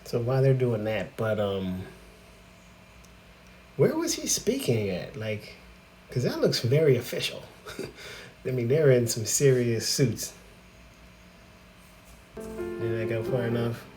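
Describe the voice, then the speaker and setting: Lively forty-year-old man reacting. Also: mid-range voice, takes it slowly, pauses often, soft background music, rough recording. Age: 40s. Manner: chatty, laughs often, exclaims in disbelief. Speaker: reaction video host. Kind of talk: casual reaction commentary.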